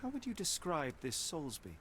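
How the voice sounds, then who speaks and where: A man's voice: on the high side, huge pitch swings, normal speed, fairly bright tone, a little hiss or hum. A man playing a formal, inquisitive detective with a British accent in a video game. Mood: calm, probing. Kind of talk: scripted game dialogue, questioning.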